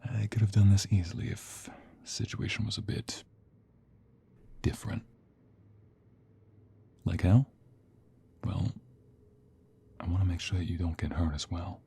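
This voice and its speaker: ASMR roleplay: male actor as tender, protective mobster on the run, intimate and reassuring, dark, gravelly low murmur. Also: steady tone, a little slow, long pauses, exaggerated voice. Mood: hushed, sheepish. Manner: intimate, hushed, reassuring, addressing the listener. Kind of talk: ASMR roleplay audio drama.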